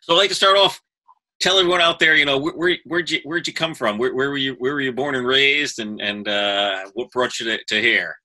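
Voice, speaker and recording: A male host running a relaxed interview, asking friendly questions that sometimes trip over themselves. His voice sits at a middle pitch and sounds very bright. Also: a little fast. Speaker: podcast host. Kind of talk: casual interview.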